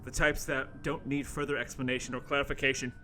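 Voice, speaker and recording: A man's voice: mid-range voice, level tone, quick pace, pushed and bright. A man narrating a horror radio play as its host, in a theatrical, mock-ominous dramatic style. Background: music.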